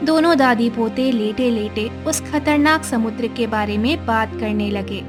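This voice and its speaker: High-voiced woman telling a cartoon story. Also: measured pace, over music. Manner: steady, storytelling. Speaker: narrator. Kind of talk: scripted storybook narration.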